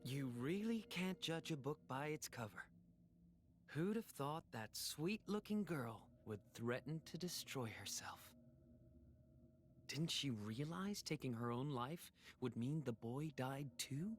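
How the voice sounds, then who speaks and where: A man's voice: on the high side, expressive, takes it slowly, a little hiss or hum. A man playing an urbane, inquisitive villain in a video game, speaking formally and with theatrical interest. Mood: intrigued. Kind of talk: dramatic video game acting.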